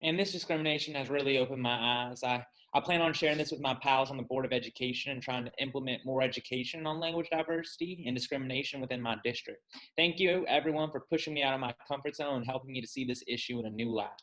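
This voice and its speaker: A man's voice: medium pitch, fast talker, fairly bright tone, low-quality recording. A man with a Southern US accent speaking as a guest on a scripted panel discussion, earnest and reading from a script.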